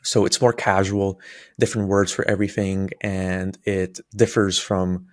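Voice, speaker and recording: A man's voice: low-pitched, little pitch movement, measured pace, no echo, clean studio sound. A young man from a Palestinian background, a native Arabic speaker, telling his personal story on his channel in an explanatory way, with frequent fillers and restarts. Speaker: YouTuber.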